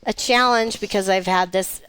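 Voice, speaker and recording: A woman co-hosting a casual podcast from an art gallery, talking with a hoarse voice from a lingering cold and laughing often. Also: mid-range voice, steady tone, moderate pace, fairly bright tone, no room sound, low-quality recording. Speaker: podcast co-host. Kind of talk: casual chat.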